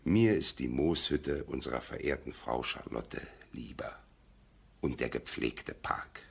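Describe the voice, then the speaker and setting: Casting: sententious male go-between, bass-deep and rough-edged, preachy, seventies radio play. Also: unhurried, full character voice. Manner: sententious, preachy, emphatic phrasing. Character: fussy, moralizing male family go-between. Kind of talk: 1970s German radio play acting.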